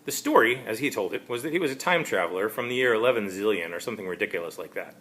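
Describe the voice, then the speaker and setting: Halting, wry man with lively intonation. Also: on the low side, a little hiss or hum. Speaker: author reading his own story. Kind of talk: amateur first-person story narration.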